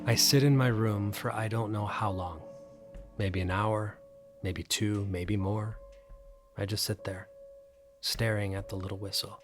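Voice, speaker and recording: An actor playing a troubled, haunted man, telling his story in a hushed, deliberate way in an audio drama. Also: fairly low pitch, steady tone, over music, lightly acted. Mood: somber, resigned. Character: troubled, haunted man fleeing dread on island holiday. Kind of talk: audio drama acting with first-person narration.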